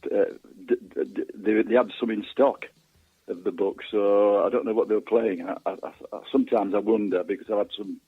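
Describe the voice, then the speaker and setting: A man's voice: on the low side, fairly even intonation, a little fast, breathy edge, dry recording. A man speaking as a guest author in a casual interview, hesitant and stammering over repeated words, on a thin phone line.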